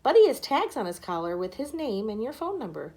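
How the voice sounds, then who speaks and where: A woman voicing a calm, practical father in a storybook, gently reasoning and reassuring. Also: a little high, brisk.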